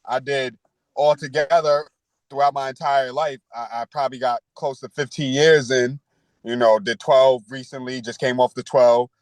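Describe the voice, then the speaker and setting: A man's voice: mid-range voice, fairly even intonation, moderate pace, no room sound, rough recording. Man in his thirties, candid street talk. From New York. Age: 30s. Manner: street slang, frequent "you know" and "word". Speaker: podcast guest.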